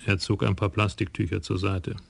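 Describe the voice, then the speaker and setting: Gravelly male radio-play narrator. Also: fairly low pitch, flat delivery, normal speed. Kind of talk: scripted radio drama narration.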